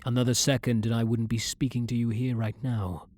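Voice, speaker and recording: A man's voice: medium pitch, flat delivery, a little fast, a little mellow, lightly acted. A man playing a centuries-old vampire hunter who is himself a vampire, blunt and brooding, in a dramatic ASMR roleplay monologue. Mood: haunted.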